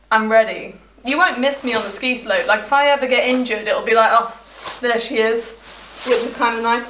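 A woman's voice: on the high side, a bit bright. A young woman chatting casually and enthusiastically through a clothing try-on at home, often trailing off mid-thought. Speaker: fashion vlogger. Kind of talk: casual try-on haul chat. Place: hallway at home, indoors. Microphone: camera across the room. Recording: echoey hallway, faint hiss.

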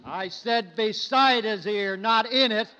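High-voiced cowboy sidekick. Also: male voice, slow, long reverb tail. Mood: folksy. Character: dim, folksy cowboy outlaw sidekick. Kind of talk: 1940s-50s radio comedy acting. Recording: very noisy, dull old radio recording.

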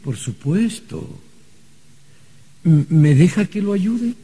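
An actor playing a calm, sly otherworldly official in a radio drama, husky, measured, polite and knowing. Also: male voice, sits high, exaggerated voice. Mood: patient, knowing. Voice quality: very husky, dark. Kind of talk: old radio drama acting.

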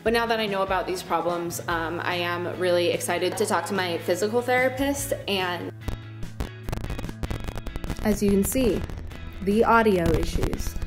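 Female vlogger, twenties; candid, self-deprecating casual update, echoey recording over clear background music. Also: on the low side, unhurried, long pauses. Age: 20s. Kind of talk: casual personal health update vlog.

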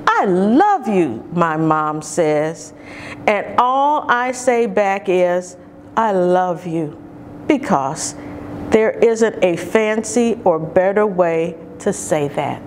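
Very expressive, unhurried woman reading a storybook. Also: on the low side, slight background noise. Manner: expressive, deliberate, with drawn-out emphasis on key words. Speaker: storytime reader for young children. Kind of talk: children's picture-book read-aloud. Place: large carpeted indoor lobby or lounge.

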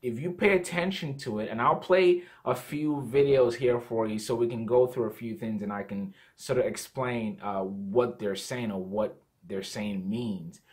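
A man's voice: medium pitch, a little slow, few pauses. A young man talking casually to camera as a host and pilot, explaining in plain words. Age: young adult. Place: small indoor room at home.

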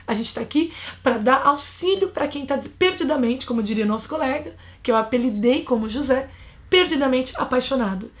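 A woman in her thirties from Brazil, giving blunt, scolding relationship advice straight to camera from a small bedroom. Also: fairly high pitch. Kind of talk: casual advice monologue to camera.

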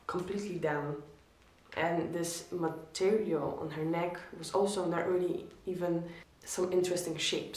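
Young Polish woman, art-channel host, chatty and encouraging, lowish voice, echoey room mic. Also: dark tone. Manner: chatty, encouraging, repeats "really". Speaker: art YouTuber reviewing viewer drawings. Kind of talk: casual instructional talk to camera. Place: small indoor room against a plain wall.

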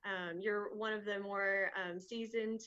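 A chatty woman host with a bright, forceful voice, asking questions in a friendly, easygoing way. The recording sounds somewhat dull and lo-fi. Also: medium pitch, steady tone, unhurried, clean tone. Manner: chatty, friendly, laughs easily. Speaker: chamber of commerce interviewer. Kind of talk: casual video interview.

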